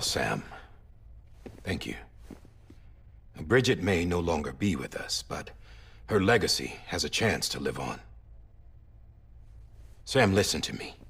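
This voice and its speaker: An actor playing a solemn, authoritative government official in a video game, speaking huskily in a grave, persuasive manner. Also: male voice, deep voice, fairly bright tone, full character voice. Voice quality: husky. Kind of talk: dramatic video game cutscene acting.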